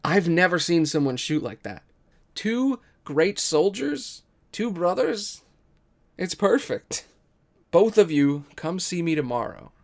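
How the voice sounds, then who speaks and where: Man as a higher-voiced, excited army recruiter. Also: slow pace, vocal fry, full character voice. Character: excited male army recruiter, admiring and encouraging.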